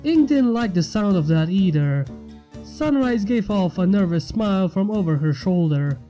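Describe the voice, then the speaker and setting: A woman's voice: medium pitch, animated, moderate pace, dark tone, faint music behind. A woman reading scripted fiction aloud as the narrator.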